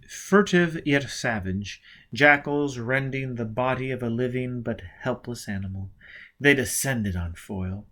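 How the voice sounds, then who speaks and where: A man's voice: medium pitch, fairly bright tone. A man narrating a novel as an amateur audiobook reader, reading steadily and expressively, with occasional slips and self-corrections.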